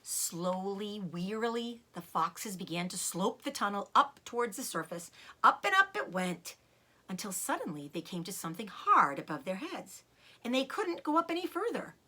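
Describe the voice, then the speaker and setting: A woman storyteller reading a children's book aloud in an expressive narrating style with dramatic emphasis. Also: medium pitch, moderate pace.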